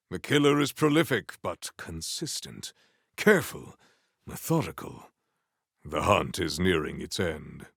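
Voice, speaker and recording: Deep, stern, noble warrior. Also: male voice, vocal fry, dry recording, studio quality, heavily acted. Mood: grave, deliberate. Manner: formal, grandiose, deliberate, deadpan. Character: noble, stern warrior vault hunter, formal and earnest. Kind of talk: theatrical video game character acting.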